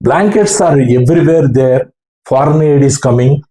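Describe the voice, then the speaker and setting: A man with a South Indian accent recounting his experience as an amateur radio operator in halting, earnest short phrases. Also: medium pitch, animated, warm tone. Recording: roomy, echoing room sound.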